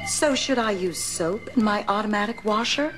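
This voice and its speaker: An actress playing a wide-eyed, admiring housewife in an old-time radio soap advertisement, gushing with scripted curiosity. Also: female voice, mid-range voice, normal speed, music in the background, natural voice. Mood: bright amazement.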